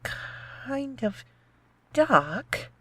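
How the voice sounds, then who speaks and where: Woman acting a husky, meek, naive housekeeper. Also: high voice, big pitch swings, drawn-out words, heavily acted. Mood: uneasy. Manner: deferential, hesitant. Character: meek, naive female housekeeper, newly hired, uneasy. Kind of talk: old-time radio horror acting.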